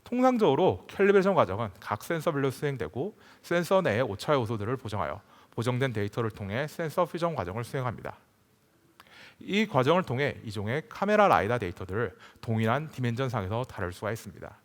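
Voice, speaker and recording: A man delivers a formal, scripted technical presentation in a reverberant hall. His pitch is mid-range and swings in a lively way across phrases, while the wording stays measured and carefully prepared. The long reverb of a conference venue surrounds his voice. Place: large conference hall stage.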